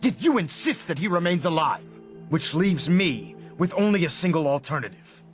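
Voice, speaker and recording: Zealous commander with sweeping intonation. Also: male voice, on the high side, sing-song, moderate pace. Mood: cold, grudging. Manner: oratorical, contemptuous, emphatic. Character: zealous military commander, stern, unforgiving and grandiose. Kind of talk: dramatic video game acting. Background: cinematic music.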